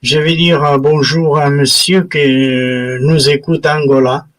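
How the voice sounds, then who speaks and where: A man hosting an informal interview, addressing listeners and asking questions in a halting, word-searching way. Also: on the high side, flat delivery, a bit bright. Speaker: interviewer and host.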